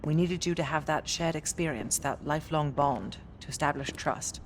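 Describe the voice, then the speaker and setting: A woman's voice: low voice, normal speed, noisy background, close to an everyday voice. A woman playing a composed intelligence officer in a video game, explaining earnestly. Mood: calm, explaining. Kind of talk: cinematic video game dialogue. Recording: music behind the voice.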